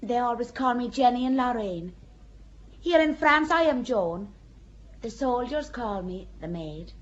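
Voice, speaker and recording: A woman's voice: fairly high pitch, a little slow, highs cut off. A woman playing Joan, a devout, unshakable peasant girl. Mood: earnest. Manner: plain-spoken, calmly certain, quietly defiant. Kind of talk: radio drama acting.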